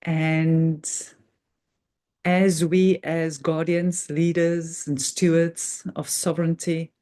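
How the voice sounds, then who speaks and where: A woman hosting a guided meditation, speaking earnestly and reverently in short, halting phrases with measured pauses in an unscripted spiritual talk. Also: sits low, level tone, dark tone.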